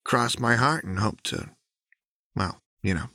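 A man's voice: on the low side, unhurried, bright, forceful tone, dry recording, clean studio sound. A man voicing a manic, mischievous young woman prisoner who taunts with sly, breezy remarks. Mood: teasing.

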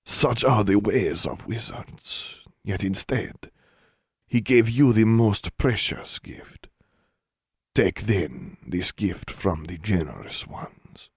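Man voicing a slow, menacing, raspy ancient elf. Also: lively intonation, darkish tone, dry recording, full character voice. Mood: solemn. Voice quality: low, dry rasp. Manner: slow, weighty, formal pronouncements. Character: menacing ancient elf leader, cold and solemn.

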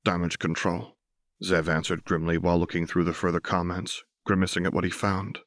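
Low-voiced man narrating fiction, close and dry. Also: level tone, fairly quick, a bit bright, no room sound. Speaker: audiobook narrator. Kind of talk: scripted fiction narration with character dialogue.

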